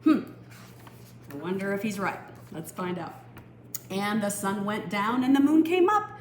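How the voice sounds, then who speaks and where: A woman reading a picture book aloud for children, expressive and explanatory, with teacherly asides. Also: medium pitch. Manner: explanatory, expressive, pausing to define words. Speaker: storytime reader. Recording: echoey room with faint noise.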